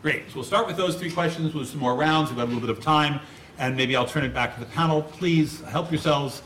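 A man's voice: on the high side, a little fast, a bit bright, slight background noise. A man moderating a panel discussion, politely directing questions to the speakers. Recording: roomy hall audio.

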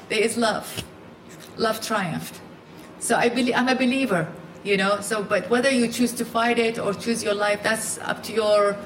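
A woman's voice: mid-range voice, echoey room, slight background noise, low-quality recording. A woman who grew up in Iraq, speaking on a live panel in an earnest, emphatic way and often restarting her sentences.

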